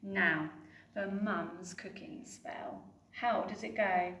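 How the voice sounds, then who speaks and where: A woman voicing an excitable little girl witch who cries out in a high, squealing voice. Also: slow. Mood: pleased. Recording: roomy, distant mic.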